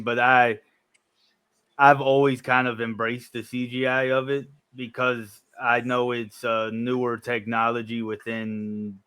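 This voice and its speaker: A man in his early thirties with a smooth, resonant voice hosts a laid-back conversation. He sounds easygoing and agreeable, restarting his sentences as he works through his thoughts, at an everyday middle pitch. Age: 30s. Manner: relaxed, agreeable, thinks aloud with restarts. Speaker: podcast host. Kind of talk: casual chat.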